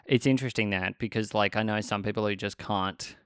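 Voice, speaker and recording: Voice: mid-range voice, steady tone, a little fast, clean tone, no room sound, clean studio sound. Rambling interviewer, filler-heavy questions. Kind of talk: casual interview chat.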